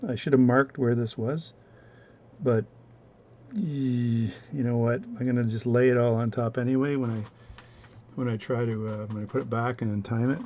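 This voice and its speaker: A man with a low voice talking through his watch repair at a home workbench, relaxed and rambling as he narrates each step. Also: normal speed, lo-fi sound, a little hiss or hum. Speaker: watchmaking hobbyist demonstrating. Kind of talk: casual bench demonstration, talking while working.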